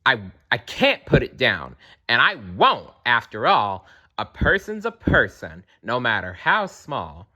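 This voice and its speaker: Man reading as a big soft-hearted elephant, earnest, bright and lively. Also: medium pitch, unhurried, heavily acted. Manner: earnest, pleading. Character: kindly worried male elephant, big and gentle.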